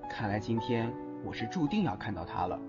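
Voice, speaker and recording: Actor as a lovestruck young man, lively intonation, tender, flustered, audio drama, music underneath. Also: on the low side, a little fast, muffled recording. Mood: tender, reflective. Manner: expressive, tender, exasperated. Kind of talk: audio drama acting with inner monologue.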